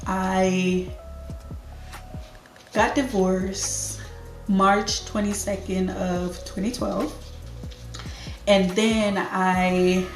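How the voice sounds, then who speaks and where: A woman's voice: medium pitch, roomy sound. A Jamaican woman in her thirties, chatting casually and unhurriedly through a get-to-know-me Q&A for her channel, laughing easily. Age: 30s. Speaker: lifestyle YouTuber.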